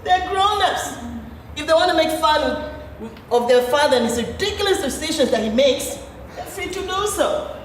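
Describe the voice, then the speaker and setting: A woman playing an imperious, scheming middle-aged wife and mother in a stage play, theatrical and deliberate. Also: sits high, expressive. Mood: defiant, haughty. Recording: distant, echoey, noisy theatre recording.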